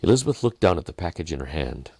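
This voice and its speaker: A man narrates a Christmas story in a very low voice that rises and falls with great variety. He tells it dramatically, pausing deliberately between phrases. The sound is dry, with hardly any room to it. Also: sing-song, gravelly, dark tone, breathy edge, no room sound. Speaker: author reading his own story.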